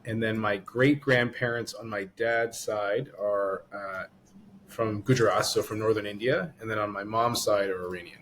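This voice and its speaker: Laid-back Canadian-born man, low voice. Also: fairly even intonation, moderate pace, fairly bright tone, a little hiss or hum. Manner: laughs often, hesitant with many ums. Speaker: guest, orthopedic surgeon. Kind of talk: casual podcast chat. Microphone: video call.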